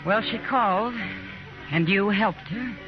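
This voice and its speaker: Woman acting knowing Old West confidante, measured, gentle, muffled vintage radio, clear music underneath. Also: mid-range voice, lightly acted. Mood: tender, probing. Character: Kitty, knowing, gently probing frontier woman. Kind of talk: 1950s radio western acting.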